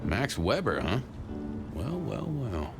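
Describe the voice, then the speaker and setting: A noir detective in a video game, low-voiced and laconic. He talks in colloquial, drily humorous turns, like a hardboiled narrator, over clearly audible music. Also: huge pitch swings, slow, heavily acted. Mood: brooding, wry. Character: wry, folksy noir police detective with dry humour.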